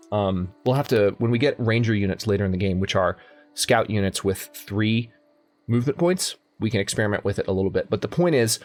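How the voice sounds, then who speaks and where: Young male let's-play host, lowish voice, chatty and self-correcting, soft game music underneath. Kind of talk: casual gameplay commentary. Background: faint game music.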